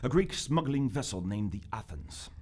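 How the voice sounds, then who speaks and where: A man playing a stuffy, dutiful Victorian gentleman envoy, formal, precise and politely insistent, in live radio theater. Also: fairly low pitch, animated, normal speed, slight background noise, natural voice. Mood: earnest, measured.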